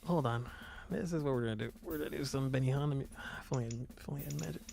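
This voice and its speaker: Male let's player, casual and sweary, mid-pitched with creaky edges, music underneath. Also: very fast, a little mellow. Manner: casual, swearing, muttering asides. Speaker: let's player reading a visual novel aloud. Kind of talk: casual gameplay commentary. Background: game music.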